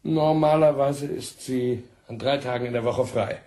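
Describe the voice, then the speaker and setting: A man's voice: mid-range voice, fairly even intonation, moderate pace, dark tone, natural voice. An actor playing a sly, worldly, chummy man with an insinuating manner in a German crime radio drama. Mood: jovial, insinuating. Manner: insinuating, jovial. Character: sly, worldly, chummy male client of a call girl.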